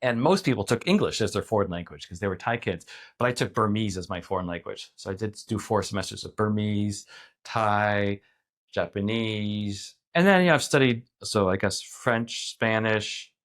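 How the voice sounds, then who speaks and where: Man musing freely in a relaxed chat. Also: lowish voice, normal speed. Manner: thoughtful, rambling, frequent restarts and "like". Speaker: podcast guest. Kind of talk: casual conversational interview.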